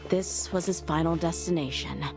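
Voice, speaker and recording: A woman voicing a stern, resolute female warrior in a video game, speaking in a firm, deliberate, commanding way. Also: deep voice, creaky, music in the background. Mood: somber, reflective. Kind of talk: dramatic video game voice acting.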